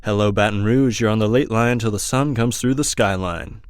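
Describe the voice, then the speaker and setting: A man playing a smooth-talking, florid, wistful late-night jazz DJ in an amateur radio drama. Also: fairly low pitch, moderate pace, no echo, studio quality, close to an everyday voice. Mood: unruffled, suave.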